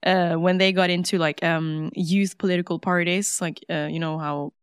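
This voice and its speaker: A woman's voice: lowish voice, fairly even intonation, moderate pace, clean tone, no room sound, studio quality. A young woman from Norway, a native Norwegian speaker talking in English as an interview guest, eager and chatty with many fillers. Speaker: guest, singer. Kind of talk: casual interview.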